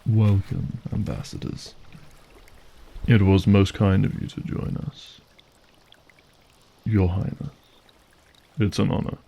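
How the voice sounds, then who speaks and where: Man acting a creaky-voiced, courtly monster lord. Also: deep voice, steady tone, takes it slowly, pauses often, dark tone, exaggerated voice. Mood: solemn, gracious. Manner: formal, gracious, silky courtesy. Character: courtly, aristocratic male monster lord, grieving and calculating. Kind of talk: audio drama acting.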